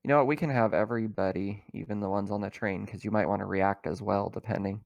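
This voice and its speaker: A man's voice: on the low side, fairly even intonation, fast talker, vocal fry, no echo, muffled recording. A man acting as game master, explaining the scene and rules in casual chat and laughing easily. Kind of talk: casual tabletop roleplaying narration and chat.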